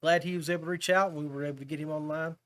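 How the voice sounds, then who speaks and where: Guy with a pretty high voice hosting a laid out casual fishing podcast, buddy talk. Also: fairly even intonation, racing, a bit bright. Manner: informal, buddy-like address. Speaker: podcast host.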